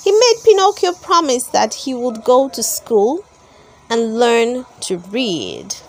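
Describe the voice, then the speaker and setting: A woman reads a storybook aloud for children. Her voice is high and very lively in its melody. She goes slowly and deliberately, phrase by phrase, as an expressive storyteller. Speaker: storybook reader.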